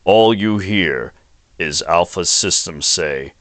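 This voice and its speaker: A man's voice: low-pitched, slow pace, a bit bright, no echo. A man reading scripted narration for a fan-made audio drama. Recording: noisy home recording with clicks.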